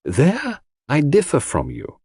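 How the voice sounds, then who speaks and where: A male reader acts out a shrewd gentleman detective from a mystery audiobook. He speaks formally and softly, as if confiding, with polite gravity and a lively melody in a dry recording. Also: a little high, slow, husky, no room sound, voice pushed up, exaggerated voice. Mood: grave.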